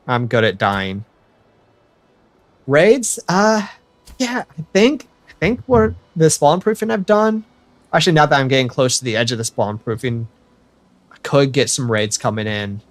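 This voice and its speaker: A man's voice: mid-range voice, dry recording. A young American man chatting casually to viewers while he livestreams a building game, rambling and correcting himself as he goes. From United States. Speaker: streamer.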